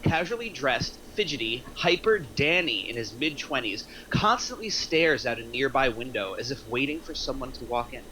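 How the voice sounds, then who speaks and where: A man's voice: mid-range voice, expressive, normal speed, few pauses, sharp tone, slight background noise, rough recording. A man reading a screenplay's scene directions aloud as scripted narration. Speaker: narrator at screenplay table read.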